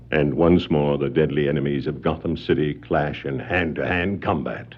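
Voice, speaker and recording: A man narrating a scripted superhero drama in 1960s radio style, suspenseful, with deliberate dramatic pauses. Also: rumbling low. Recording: dull 1960s vinyl record sound.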